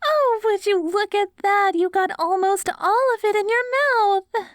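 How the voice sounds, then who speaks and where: A woman playing an affectionate post-apocalyptic wife in an ASMR audio roleplay, coaxing and gently praising her ghoul husband. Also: very high voice, measured pace, dry recording, clean studio sound. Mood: amazed.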